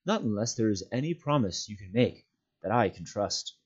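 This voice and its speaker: A man voicing a stern, commanding hobbit master in a storybook, speaking in a firm, measured, formal way with some pity. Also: lowish voice, expressive, darkish tone, slightly rough, dull audio. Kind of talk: storybook character voice.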